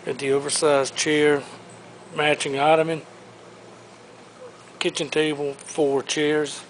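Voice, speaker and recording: A man with a slightly high voice walks a big warehouse showroom, rattling off furniture and collectibles in an upbeat salesman's patter. He is recorded on the camera he carries, with a faint hum of the space behind him. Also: little pitch movement, low-quality recording. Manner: listing items briskly, upbeat salesman patter. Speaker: auctioneer. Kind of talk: walkthrough preview of auction items.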